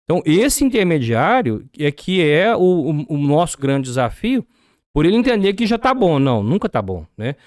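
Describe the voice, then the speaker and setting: Brazilian man with lively, animated pitch. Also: normal speed, dry recording, studio quality. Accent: Brazilian Portuguese. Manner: explanatory, asks rhetorical questions. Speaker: podcast guest. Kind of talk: business podcast conversation.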